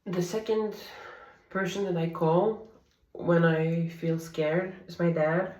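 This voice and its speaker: A woman's voice: fairly low pitch, fairly even intonation, slow, echoey room, recorded from a distance, poor audio. Polish-native woman vlogging at home at night, candid, talking a bit away from the mic. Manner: soft-spoken, candid, confiding. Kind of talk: personal diary vlog.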